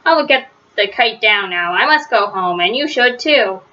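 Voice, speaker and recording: A woman's voice: medium pitch, expressive, moderate pace, pushed and bright. A woman voicing a friendly, helpful boy in a children's storybook, speaking in earnest exclamations. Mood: dismayed. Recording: dull, lo-fi sound.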